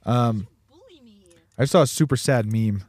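A man's voice: medium pitch, a little slow, a little mellow. A man in his twenties co-hosting a casual podcast from a parked car, laughing often and tripping over his words. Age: 20s. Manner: giggly, trips over words. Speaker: podcast co-host.

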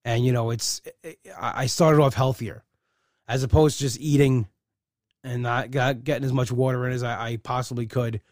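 Middle-aged male host, candid rambling monologue, medium pitch, dry close mic. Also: fairly even intonation, moderate pace, a little mellow, no echo. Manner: rambling, candid, many restarts. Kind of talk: casual personal monologue.

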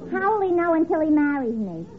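A woman's voice: high voice, sing-song, fast, over music, a crowd in the background. An actress playing a brash, man-hungry single woman, pushy and comically blunt, in an old radio comedy. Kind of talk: 1940s radio comedy acting. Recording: tinny, noisy old radio before loud audience.

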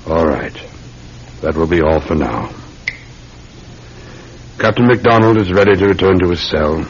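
A very low-pitched male police sergeant from a radio drama. He speaks with measured courtesy and patient method, heard through a dull, noisy old recording. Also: expressive. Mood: firm, probing.

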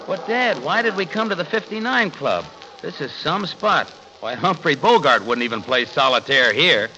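Gullible boyish young man, high and animated, hesitant, muffled old radio comedy. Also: normal speed. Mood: doubtful. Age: young adult. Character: eager, anxious, gullible young bridegroom. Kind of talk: 1940s radio comedy acting.

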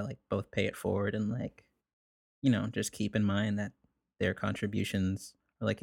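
A young man, a musician guest, telling stories in a relaxed, rambling way, with many "like"s and frequent laughs. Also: fairly low pitch, vocal fry, no room sound, studio quality. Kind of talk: casual conversation.

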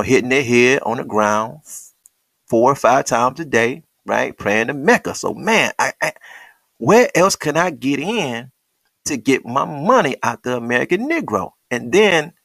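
A man hosts his own podcast in a sarcastic, slangy monologue. His pitch sits in the middle and swings up and down with a lot of life. The recording is close and dry, with no room sound. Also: gravelly, a bit bright, dry recording. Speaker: podcast host. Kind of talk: solo commentary monologue.

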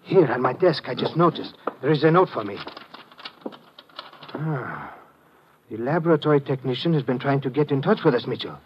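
An actor playing a polite, methodical police lieutenant in an old radio drama, speaking formally and precisely. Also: male voice, mid-range voice, expressive, lightly acted. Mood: pondering. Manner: formal, measured, precise wording without contractions. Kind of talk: old-time radio detective drama acting. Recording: dull, noisy vintage radio recording.

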